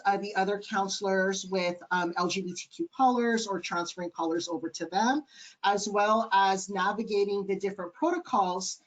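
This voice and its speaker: A man with a light, high-sounding voice, answering webinar questions earnestly with many ums over a video call. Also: a bit bright, poor audio. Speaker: webinar panelist.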